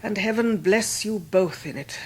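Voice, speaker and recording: An elderly English great-aunt in a period radio drama. She speaks at a middling pitch, in a measured, frank way. She is gruff on the surface and tender underneath. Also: rough recording. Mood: firm, wise. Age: older. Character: gruff but tender, wise old Victorian great-aunt. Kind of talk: 1990s radio drama acting.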